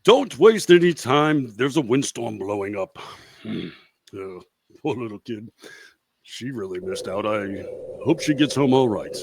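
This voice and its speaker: A man's voice: medium pitch, expressive, measured pace, vocal fry, darkish tone. A man playing a roguish, bumbling fortune-telling professor in a comedic radio play, with hesitant patter and wry asides. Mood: concerned, resigned.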